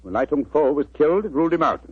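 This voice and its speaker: An actor playing a self-assured English gentleman detective in 1940s radio drama style, clipped and commanding, with a British accent. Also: fairly high pitch, animated, fast, husky, no room sound. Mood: assured, explanatory. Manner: clipped, authoritative, explanatory. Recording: dull old 1940s radio recording.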